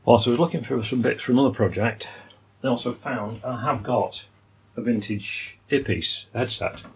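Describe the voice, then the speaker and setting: Gravelly older man at a workbench. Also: medium pitch, normal speed, slight background noise, rough recording. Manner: musing, technical, many ums and uhs. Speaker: electronics repair hobbyist. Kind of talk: hands-on repair walkthrough. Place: workbench indoors.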